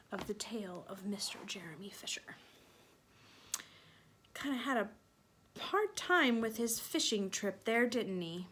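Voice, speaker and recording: A woman's voice: mid-range voice, slight background noise. A woman reading a picture book aloud to young children, expressively, with asides and questions to her listeners. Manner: expressive, with sound-effect emphasis and questions to listeners.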